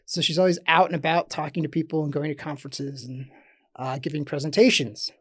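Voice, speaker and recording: A man hosting a podcast. He reads a scripted show opening, then chats casually with his guest and often trails off softly. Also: a little high, fairly even intonation, fairly quick, slightly rough, no echo, clean studio sound. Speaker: podcast host.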